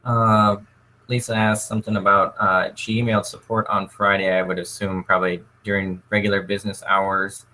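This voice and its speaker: A man moderating a casual livestream Q&A, relaxed and thinking aloud as he passes on viewers' questions. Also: on the low side, normal speed, low-quality recording.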